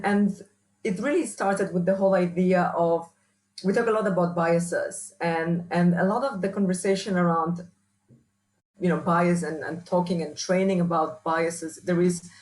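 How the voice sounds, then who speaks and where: A woman with a strong Polish accent answering interview questions as a researcher and trainer, often restarting and filling pauses. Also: on the low side, a little mellow, rough recording. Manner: hesitant, many restarts and fillers. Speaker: workshop speaker, researcher.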